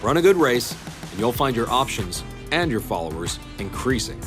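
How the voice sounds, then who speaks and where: An actor playing a confident, slick race event organizer in a video game cutscene, smooth-talking and promotional. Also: male voice, medium pitch, very animated, normal speed, over music, close to an everyday voice. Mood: assured, persuasive.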